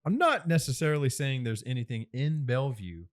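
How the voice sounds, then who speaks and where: एक आदमी, तीस के दशक में, पॉडकास्ट पर हल्के-फुल्के अंदाज़ में बात करता हुआ, अक्सर हँसता और सोचते-सोचते बोलता हुआ।. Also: male voice, mid-range voice, brisk. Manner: animated, laughs often, thinks aloud. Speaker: podcast co-host. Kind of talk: casual chat.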